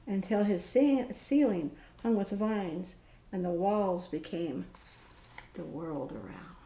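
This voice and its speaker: Older female storyteller, grandmotherly, creaky voice, expressive picture-book read-aloud, recorded in an echoey room. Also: on the low side. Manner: slow, dramatic, with drawn-out pauses and stressed words. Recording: roomy echo with faint background noise.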